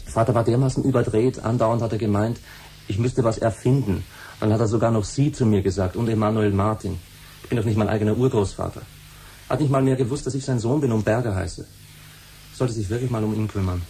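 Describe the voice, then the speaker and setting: An actor playing a sullen runaway son in a 1970s radio play, blunt and colloquial. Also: male voice, fairly low pitch, a little fast, dark tone, close to an everyday voice. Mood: sullen, matter-of-fact. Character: sullen, runaway teenage son who loves music. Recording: faintly noisy 1970s radio recording.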